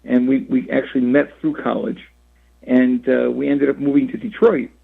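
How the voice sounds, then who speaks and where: A man in his sixties from Rhode Island, a radio interview guest who talks in a halting way with many fillers and restarts, heard over a phone line. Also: mid-range voice, little pitch movement, gravelly, breathy edge. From Rhode Island, USA. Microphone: phone call.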